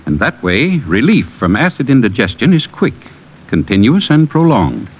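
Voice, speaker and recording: An actor playing a reassuring family druggist, delivering a polished, emphatic old-time radio advertisement. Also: male voice, medium pitch, very animated, moderate pace, husky. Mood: reassuring, salesmanlike. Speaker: commercial announcer. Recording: dull vintage radio recording.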